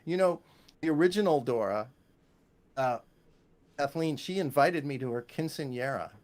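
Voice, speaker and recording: A man's voice: fairly high pitch, animated, normal speed, darkish tone. A man from the United States chatting casually as an interview guest, joking and rambling as he talks. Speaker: guest, composer and bass player.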